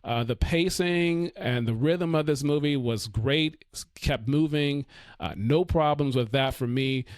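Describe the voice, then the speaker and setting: A man hosting his own podcast, reviewing a film in a relaxed, rambling way full of fillers and restarts, with his voice going hoarse. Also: a little high, measured pace, dry recording, clean studio sound. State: losing his voice. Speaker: podcast host. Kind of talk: casual solo film review.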